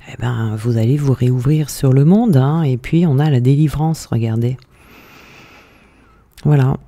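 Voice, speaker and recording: A man walks viewers through a tarot spread in a reassuring, unhurried way, pausing often on fillers as he searches for words. His voice is very dark and mellow, with a steady gravelly fry running under it. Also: medium pitch. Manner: hesitant, many fillers, reassuring. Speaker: tarot card reader. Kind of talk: spoken tarot reading to viewers.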